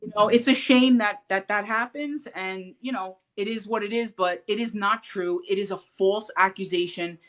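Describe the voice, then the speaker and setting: A woman in a relaxed interview tells her story with real animation. She slips into acted-out quotes and laughs often, as though chatting with a friend. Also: medium pitch, moderate pace, hardly any pauses. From Puerto Rican heritage. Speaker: podcast guest. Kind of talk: casual interview chat.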